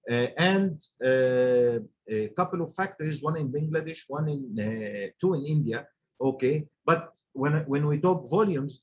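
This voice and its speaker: Man, Arabic speaker, 40s, raspy, chatty presenter, muffled lo-fi sound. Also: on the high side. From Egypt. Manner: chatty, peppers talk with "okay". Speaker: guest speaker. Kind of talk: business presentation.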